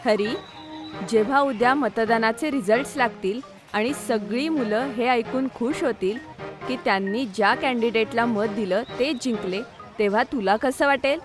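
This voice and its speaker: A woman's voice: a little high, moderate pace, over music, natural voice. A woman playing a kindly, patient female schoolteacher in a children's cartoon. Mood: kind, persuasive. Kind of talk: Marathi children's cartoon dialogue.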